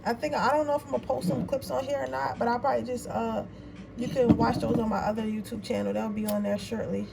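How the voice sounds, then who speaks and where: Raspy young woman, chatty tarot reader. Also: on the high side, little pitch movement, quick pace, over loud music. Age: 20s. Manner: chatty, rambling and informal, with slang. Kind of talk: casual tarot reading chat. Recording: noisy room, voice a bit far from mic.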